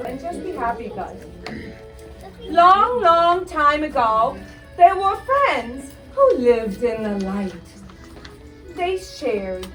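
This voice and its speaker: A woman teacher hosting a school performance, announcing, narrating dramatically and prompting children in an encouraging, directing way, recorded from a distance. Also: high voice. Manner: encouraging, directing, repeats lines loudly for children. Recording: music behind, picked up from a distance.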